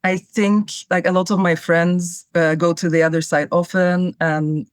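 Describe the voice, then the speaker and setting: A young woman from Cyprus, a native Turkish speaker, answering interview questions in a thoughtful, measured way. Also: lowish voice, flat delivery, smooth, no room sound, clean studio sound. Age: young adult. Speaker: guest, travel writer.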